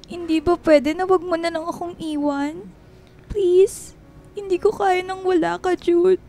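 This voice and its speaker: Very high-pitched emotional young girlfriend. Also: flat delivery, measured pace, dark tone. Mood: tearful, pleading. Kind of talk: radio drama dialogue.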